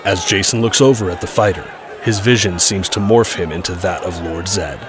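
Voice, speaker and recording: A man's voice: sits low, moderate pace, a crowd in the background. A man narrating a scripted audio drama, describing the action. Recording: clear urban background noise.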